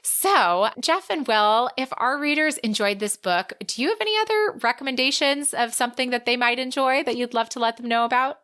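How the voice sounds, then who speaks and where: A woman's voice: fairly high pitch, lively intonation, brisk, hardly any pauses, pushed and bright. A woman hosting a podcast in casual chat, talking in an enthusiastic, gushing way. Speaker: podcast host.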